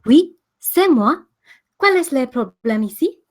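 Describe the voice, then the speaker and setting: A woman's voice: high voice, expressive, a little slow. A woman at a script read playing a bold, cheeky young woman who can turn haughty and indignant. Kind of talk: screenplay table read acting.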